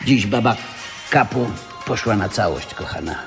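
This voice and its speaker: A gravelly, low-voiced man as a streetwise down-and-out scrounger in a radio play. His slangy, rambling talk swings widely in pitch. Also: lively intonation, moderate pace, faint music behind. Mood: boastful grumbling. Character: down-and-out streetwise Polish scrounger, tinkerer, petty shoplifter. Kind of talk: radio drama acting.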